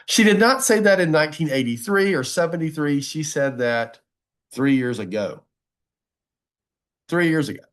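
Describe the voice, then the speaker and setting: A man's voice: on the high side, expressive, moderate pace, pauses often, a bit bright. A man giving a motivational advocacy talk, emphatic and folksy, often repeating phrases for weight. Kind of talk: motivational presentation to a group.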